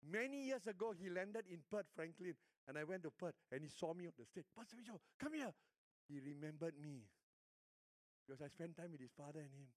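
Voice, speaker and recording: An older man with a Singaporean accent, a pastor preaching a sermon and telling stories, moving between hushed lines and emphatic ones. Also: a little high, lively intonation, fairly quick, no room sound.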